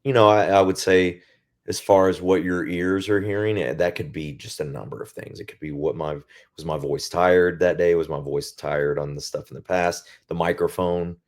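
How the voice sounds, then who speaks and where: A male singer with a naturally very deep voice, talking casually and reflectively in an interview, often pausing to find his words. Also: fairly even intonation, darkish tone. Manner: reflective, searching for words with many pauses. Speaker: band singer as guest.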